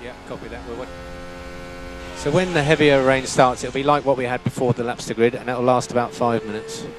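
A man's voice: medium pitch, fairly even intonation, brisk, noisy background. A man working as a race engineer, giving terse, matter-of-fact instructions over team radio and repeating key phrases. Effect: team radio transmission.

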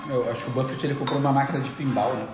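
A man's voice: medium pitch, fairly even intonation, racing. A man in his thirties, a guest in a casual chat among friends in a kitchen, who talks hesitantly with many fillers. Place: home kitchen, indoors. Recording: echoey kitchen with music behind.

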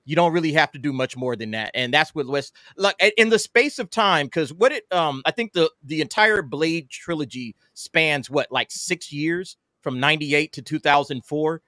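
A man's voice: on the high side, expressive, normal speed, hardly any pauses, sharp tone, dry recording. Guy on a film panel, dry close sound, chatting casually but really emphatic. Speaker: panelist in a film debate show. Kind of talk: casual opinionated film discussion.

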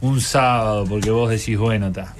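A man's voice: lowish voice, steady tone, normal speed, soft background music. A man with a Uruguayan accent in casual comic banter as a radio host, telling things in a wry, colloquial way.

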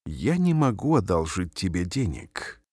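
A man reading short phrases aloud slowly and carefully, each one repeated, for language learners. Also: sits low, big pitch swings, mellow, dry recording. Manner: careful, clearly spaced phrases. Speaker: language teacher. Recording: dry studio voice-over.

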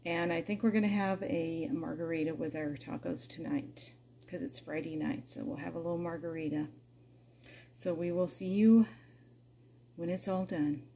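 A woman's voice: on the low side, steady tone, moderate pace, gravelly, dark tone, a little hiss or hum. A middle-aged woman chatting casually as she cooks dinner in her home kitchen, talking through each step. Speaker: cooking vlogger. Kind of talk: casual cook-with-me narration.

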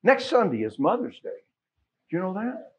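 Husky American pastor, middle-aged man. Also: high-pitched, huge pitch swings, moderate pace. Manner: folksy, conversational, asks listeners questions. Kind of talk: informal church announcements from the pulpit. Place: small church sanctuary, at pulpit. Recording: dull, muffled church sound recording.

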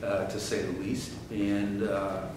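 A middle-aged man, a head coach, answering postgame questions with halting restarts and fillers. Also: fairly low pitch, little pitch movement. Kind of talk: postgame interview. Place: press room at a table. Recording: echoey room from a distance, background hiss.

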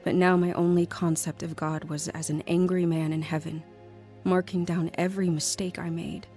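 A woman's voice: fairly low pitch, flat delivery, moderate pace, dark tone, faint music behind, lightly acted. An actress playing a lonely, anxious young woman narrating her life story in an audio drama. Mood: reflective, sad. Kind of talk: first-person dramatic narration.

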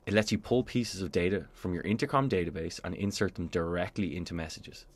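A man presenting a software tutorial in scripted instructional narration, explaining clearly step by step. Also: sits low, brisk.

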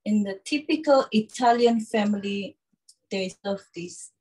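A woman in her thirties presenting a live cooking demonstration from a home kitchen, in an instructional, halting way with frequent check-ins. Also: mid-range voice, darkish tone, husky, poor audio. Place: small home kitchen, indoors.

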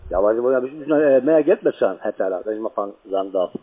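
Fast-talking pushy salesman on the phone. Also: on the low side, animated. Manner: pushy, insistent, halting grammar. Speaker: call center electricity salesman. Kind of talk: telephone sales pitch. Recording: tinny, band-limited phone line.

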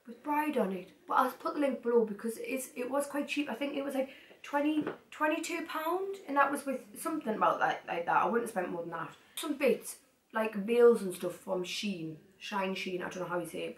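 A young woman chats excitedly to camera, hopping from one thing to the next with plenty of asides. She is recorded from across the room, so her voice sounds distant and echoes off the walls, with a faint hiss underneath. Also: a little high, moderate pace. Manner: chatty, excitable, rambling asides. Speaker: vlogger. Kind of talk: casual haul chat to camera. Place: cluttered living room, sitting on the floor. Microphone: far camera.

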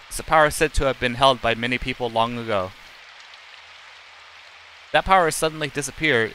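A man's voice: mid-range voice, pushed and bright, slight background noise, poor audio. A middle-aged man live streaming, reading game text aloud in a plain, unacted way with casual asides and occasional stumbles.

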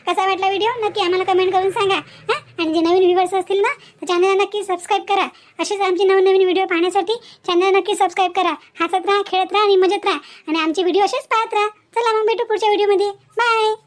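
A woman plays a wise, moralizing cartoon aunt with a very high voice. She talks quickly in a Vidarbha Marathi accent, firmly driving each point home with repeated little tags. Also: fairly even intonation. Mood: cheerful. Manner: preachy, emphatic, repeats herself with tag words. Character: moralizing village aunt, wise, chatty and firm. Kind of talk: cartoon moral-story dialogue.

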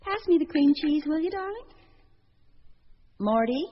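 A woman's voice: high-pitched, animated, measured pace. A woman acting in a radio drama as a wry, fluttery entertainer who muses aloud about her failed proposals. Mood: needling. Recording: dull lo-fi radio sound, faint music.

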